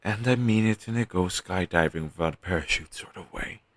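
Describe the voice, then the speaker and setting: Low, gravelly, morbid undead best friend acted by a man. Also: fairly bright tone, no echo, full character voice. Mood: sardonic. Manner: halting, sighing, sardonic delivery with trailing hesitations. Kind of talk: intimate scripted audio roleplay monologue.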